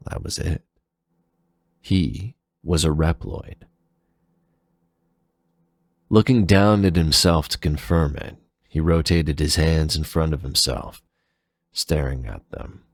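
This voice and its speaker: Very deep, gravelly male narrator. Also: dry recording, studio quality. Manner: measured, deliberate. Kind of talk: dramatic scripted fan fiction narration.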